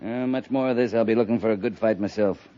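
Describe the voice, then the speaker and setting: A man's voice: lowish voice, fast, warm tone, close to an everyday voice. An American man playing a weary, dutiful cavalry captain, respectful but blunt, in a 1950s radio drama. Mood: dry, respectful. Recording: muffled, noisy 1950s radio recording.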